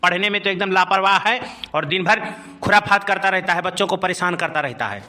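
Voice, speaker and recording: A man's voice: high voice, a little fast, bright, forceful tone. A man voicing a stern but easily cowed village schoolteacher in a comic cartoon, complaining and admonishing. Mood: indignant.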